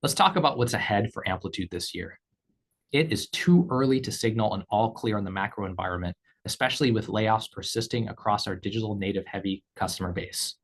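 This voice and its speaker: A man speaking as a company executive, reading scripted earnings call remarks in a measured, formal way. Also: on the low side, breathy edge. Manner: measured, formal read delivery.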